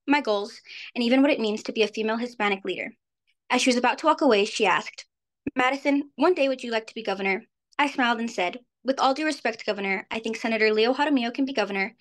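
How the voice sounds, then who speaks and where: A seventeen-year-old girl from New Mexico reads a prepared speech over a video call. Her voice sits a little high and she moves through the text quickly. She sounds earnest throughout. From New Mexico, USA. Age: teen, 17. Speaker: youth speaker. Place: indoors, virtual background. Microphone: video call webcam.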